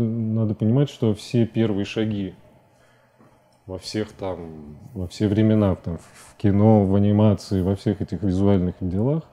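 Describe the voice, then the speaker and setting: A man in his forties talking casually as a studio interview guest, colloquial and halting as he thinks aloud. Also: fairly low pitch, warm tone. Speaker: interview guest, animation filmmaker. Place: TV studio, indoors.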